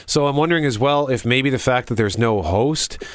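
A man's voice: medium pitch, moderate pace. A middle-aged man hosting a show, chatting casually about entertainment. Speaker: radio show host. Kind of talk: casual movie and TV review chat.

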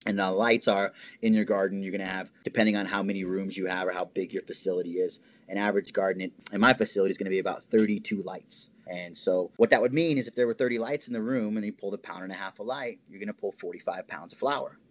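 A man with a fairly low voice talks as a podcast guest in a loose, conversational way. He explains at length with lively energy and laughs often. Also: fast, hardly any pauses. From Hawaii. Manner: animated, laughs often, explains in long runs. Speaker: podcast guest, cannabis grower. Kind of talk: casual interview chat.

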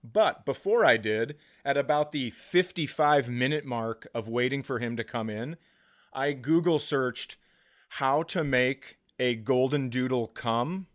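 A man's voice: medium pitch, a little slow, no room sound, clean studio sound. A man co-hosting a comedy podcast, telling stories casually with crude, exasperated rants. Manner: crude, profane, exasperated ranting.

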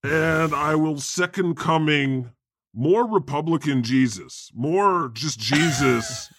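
Gravelly man with dry jokes. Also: on the high side, slow pace, no echo. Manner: dry, mock-solemn joking. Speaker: podcast co-host. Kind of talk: casual podcast chat.

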